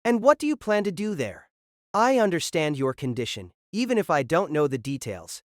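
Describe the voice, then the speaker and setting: A man playing an authoritative young student council president in an audio drama, speaking in a formal, measured way. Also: a little high, big pitch swings, no room sound, studio quality. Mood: grave, firm.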